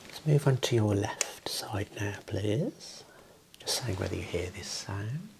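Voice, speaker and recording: Man, whispered ASMR clinician role play, very slow and husky-gravelly, gentle praise between steps. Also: on the low side, expressive, noisy background. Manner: slow, whispered, soft-spoken instructions. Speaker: ASMR creator playing a clinician.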